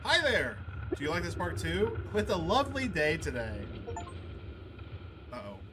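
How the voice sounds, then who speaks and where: A man hosting a gaming video, reading game dialogue aloud and musing between lines in a halting, casual way. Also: on the high side, wildly swooping pitch. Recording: clear background noise under the voice.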